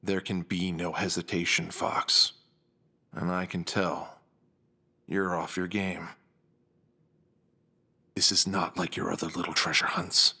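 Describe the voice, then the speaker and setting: A man acting a cold, commanding crime boss in an audio drama, measured and imperious. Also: low voice, flat delivery, gravelly, sharp tone, breathy edge, heavily acted. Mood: probing, ominous. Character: cold, commanding male former syndicate boss.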